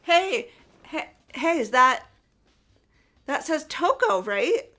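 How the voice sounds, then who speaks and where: Game role: excitable, insistent teen girl female actor, very high voice, drawn-out emphatic delivery. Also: exaggerated voice. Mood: excited, insistent. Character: excitable, pushy teenage girl jumping to conclusions. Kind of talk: scripted visual novel voice acting.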